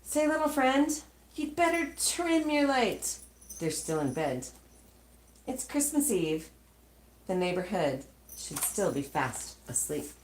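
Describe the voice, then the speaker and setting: A kindly old Father Christmas from a storybook, as a woman reads him aloud. He speaks slowly and tenderly, halting between phrases, with lively swings in pitch and a roomy echo. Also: slight background noise. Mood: gently teasing. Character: kindly old Santa Claus, gently explaining and hesitant.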